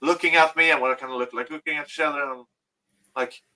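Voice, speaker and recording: A Swedish man with a Swedish accent, co-hosting a podcast in casual chat, animated and swearing constantly as he rambles. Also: a little high, quick pace, poor audio. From Sweden. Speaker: podcast co-host.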